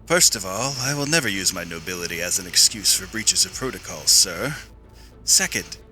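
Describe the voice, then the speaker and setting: A man's voice: lowish voice, bright, forceful tone, low-quality recording. An actor playing a confident, insubordinate noble lieutenant in his twenties in a sci-fi radio play, self-assured and formal.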